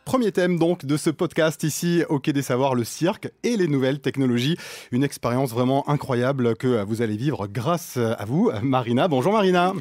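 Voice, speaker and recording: A man's voice: mid-range voice, normal speed, darkish tone, dry recording, clean studio sound. A man hosting a podcast, opening the show like an announcer. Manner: announcer-like presentation. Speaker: podcast host.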